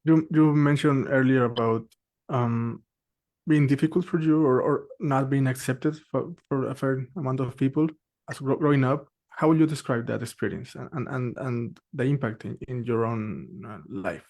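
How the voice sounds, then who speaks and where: A man interviewing a musician, forming his questions haltingly and repeating words. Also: medium pitch, a little mellow.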